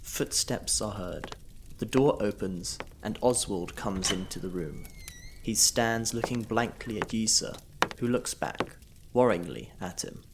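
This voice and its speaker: A man reading the scene directions of a period audio play in a measured, even way. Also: fairly low pitch, background noise. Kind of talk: scripted narration of stage directions.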